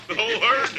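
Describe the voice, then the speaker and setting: A man's voice: sits high, very noisy. A man acting a gloating, greedy character in a 1950s radio western. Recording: 1950s radio recording, loud music.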